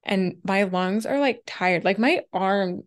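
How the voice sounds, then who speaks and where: A young woman in her twenties hosting her own podcast in a casual, chatty solo talk, her voice a little raspy and winded after a run, with lots of "like" fillers. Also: mid-range voice, takes it slowly. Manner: chatty, filler-heavy with frequent "like". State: slightly raspy, winded from running. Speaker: podcast host.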